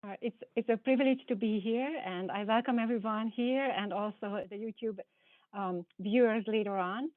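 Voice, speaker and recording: A woman with a Hungarian accent, a biology professor giving an earnest, halting panel talk over a video call. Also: on the high side, no room sound. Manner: hesitant, restarts phrases, earnest. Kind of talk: panel presentation with slides. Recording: tinny phone-like call audio.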